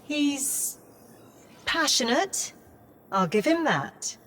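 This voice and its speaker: Female game AI assistant, youthful, slightly high pitch, courteous, efficient, drily sassy. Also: very animated, very slow, a bit bright, slight background noise, full character voice. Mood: indignant, sarcastic. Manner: efficient, formal with dry sarcasm. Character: polite but sassy young female AI assistant. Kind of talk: video game voice acting.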